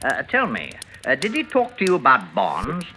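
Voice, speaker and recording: Pushy old radio police sergeant, really sharp hard voice, clipped and official, little bit of hiss. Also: male voice, medium pitch, wildly swooping pitch, moderate pace. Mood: polite, probing. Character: dogged male Scotland Yard sergeant, courteous turning hard. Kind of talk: old-time radio drama acting. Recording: vintage radio recording, faintly noisy.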